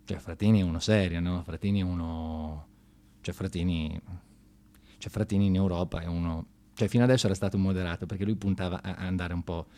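Ironic man doing satirical stage monologue. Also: sits low. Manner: ironic mock praise, halting and colloquial, bursts into excited raised voice. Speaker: monologue performer in a satirical theatre show.

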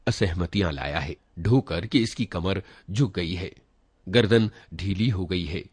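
A man's voice: fairly low pitch, darkish tone, slightly rough, no echo. A man reading a literary short story aloud in a dramatic, reflective first-person manner. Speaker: story reader.

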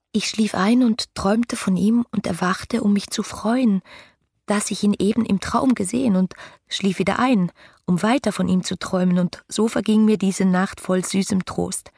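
An actress playing an ardent, yearning romantic woman in a literary radio drama, with fervent, lyrical delivery that can turn hushed. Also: medium pitch, husky, no room sound, clean studio sound.

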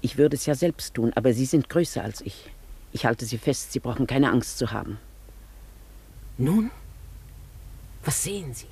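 Casting: a haughty, nostalgic older grande dame, dark and creaky, formal radio-drama delivery. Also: female voice, rumbling low, animated, moderate pace, long pauses, heavily acted. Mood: insistent, eager. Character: imperious, nostalgic lady, determined to relive former grandeur. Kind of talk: German radio play acting.

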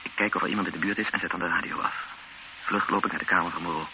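A man's voice: low-pitched, steady tone, racing. A male actor playing a captive Dutchman in a radio drama, recounting and living his ordeal in a sober, earnest, tense way. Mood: urgent. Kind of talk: first-person radio drama narration and dialogue. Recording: tinny old radio recording with clear noise.

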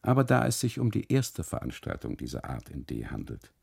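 Radio-play custodian, acted by a male narrator, dark low voice, gravelly, matter-of-fact, insistent, self-important. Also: big pitch swings, a little fast, exaggerated voice.

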